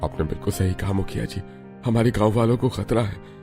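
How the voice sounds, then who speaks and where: Man voicing a worried villager, lowish voice. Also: fairly even intonation, fairly quick, creaky, mellow, soft background music. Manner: earnest, worried. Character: alarmed ordinary village man. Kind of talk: animated Hindi cartoon story.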